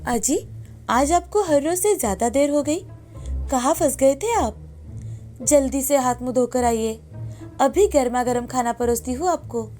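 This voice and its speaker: A woman voicing a caring, curious village wife in a moral story, with expressive, solicitous delivery. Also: sits high, a little slow, faint music behind. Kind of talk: scripted moral story dialogue.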